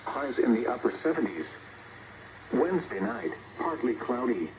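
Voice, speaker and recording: A man's voice: mid-range voice, sing-song, moderate pace, a little mellow, dull audio. Noisy radio weather announcer, male, clipped. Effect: radio speaker. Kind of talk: scripted automated weather forecast. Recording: distant radio playback, clear background noise.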